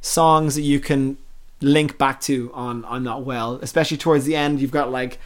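A man's voice: mid-range voice, fairly even intonation, moderate pace. A man, chatting casually and enthusiastically as a music podcast host reviewing albums.

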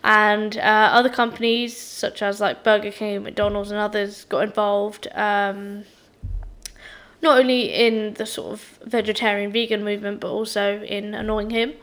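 A young woman hosting a casual solo podcast, chatty and a little croaky from being unwell, with frequent ums and restarts. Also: mid-range voice, flat delivery, a little slow, fairly bright tone. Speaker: podcast host.

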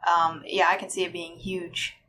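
A woman's voice: fairly low pitch, level tone, normal speed, pushed and bright. A woman speaking as a podcast guest in an informal, conversational way. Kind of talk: casual podcast chat. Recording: noticeable background noise.

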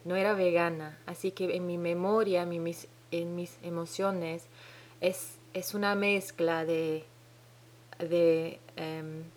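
A woman with a Dominican-tinged Spanish accent hosts her own podcast alone, speaking slowly in a somewhat low voice. She hesitates often, restarting phrases as she searches for the right words. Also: steady tone, a little mellow. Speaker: podcast host. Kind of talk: solo podcast monologue. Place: home in New Zealand.

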